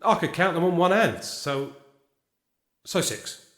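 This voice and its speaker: A man playing a poor, blunt male serf who talks rough and cheeky, with a British accent. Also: high voice, sing-song, a little slow, fairly bright tone, roomy sound, heavily acted.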